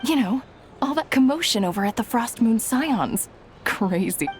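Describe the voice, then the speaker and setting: A woman's voice: a little high, lively intonation, moderate pace, a little hiss or hum, lightly acted. A woman playing a gossiping townswoman passing on news in video game dialogue. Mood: gossipy.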